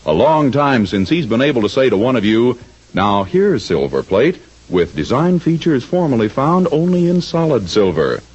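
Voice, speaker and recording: A man's voice: medium pitch, big pitch swings, moderate pace, darkish tone, slightly rough. A man working as a radio announcer, reading a scripted 1940s advertisement in a polished, grandly salesy manner. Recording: dull vintage radio recording.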